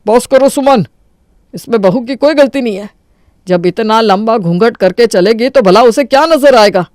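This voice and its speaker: A man plays a father-in-law in a cartoon tale. His voice is high for a man, firm and reproving, with a dry, close sound. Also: normal speed, a little mellow, no echo. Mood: firm reproof. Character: fair-minded father-in-law defending the bride. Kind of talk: Hindi cartoon story voice acting.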